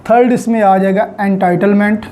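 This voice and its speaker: Pakistani law teacher, mellow soft-edged voice, explaining step by step, mixes in english terms. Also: mid-range voice, level tone, unhurried, a little hiss or hum. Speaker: law lecturer. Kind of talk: explanatory lecture.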